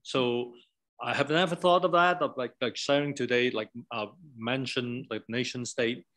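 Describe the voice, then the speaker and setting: A man with a mid-pitched voice talks through ideas as an academic researcher would. His delivery is informal and discursive. He moves haltingly, often leaning on "like" and checking in with "right?". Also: fairly even intonation, fairly bright tone.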